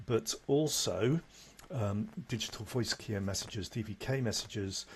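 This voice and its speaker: Low-voiced man giving a software tutorial, noisy recording. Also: animated, measured pace. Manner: instructional, conversational, with tag questions. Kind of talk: unscripted screen-recording tutorial. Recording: noticeable background noise.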